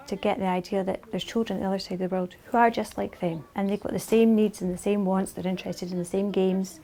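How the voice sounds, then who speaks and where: A woman's voice: mid-range voice, mellow. A woman from a school's staff reflecting thoughtfully on her pupils in an interview. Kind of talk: interview answers.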